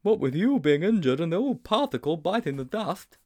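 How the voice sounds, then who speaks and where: A man's voice: very animated, normal speed, dark tone. A man voicing a nervous, timid schoolboy who lisps his r's as w's, in an audiobook reading. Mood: anxious, eager. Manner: lisps r as w, squeaky and breathless. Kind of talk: audiobook character voice.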